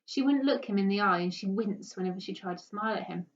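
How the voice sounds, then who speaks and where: A woman reading a children's story aloud with expressive narration. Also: medium pitch, fast. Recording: dull, distant microphone.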